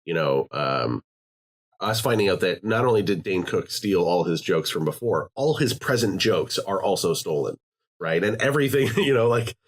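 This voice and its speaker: A man's voice: low voice, lively intonation, normal speed. A man co-hosting a podcast in casual chat, rambling with lots of fillers and restarts. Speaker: podcast co-host.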